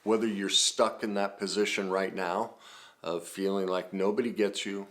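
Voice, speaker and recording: Earnest low-voiced man giving advice. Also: fairly even intonation, normal speed, fairly bright tone. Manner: earnest, reassuring, builds emphasis with repeated phrases. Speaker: self-help speaker. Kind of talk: direct-to-camera advice talk.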